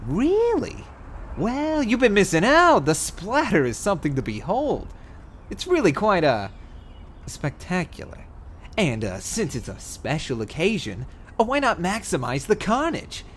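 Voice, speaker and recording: A man performs a chatty young gangster cat for a comic dub. His delivery swings widely in pitch, sitting a little high. He is sarcastic and showy, with loud vehicle noise behind him. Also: huge pitch swings, measured pace, heavily acted. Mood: nervous scheming. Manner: glib, theatrical, sarcastic. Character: cheeky, chatty young male bootlegger cat. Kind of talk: comic dub character acting.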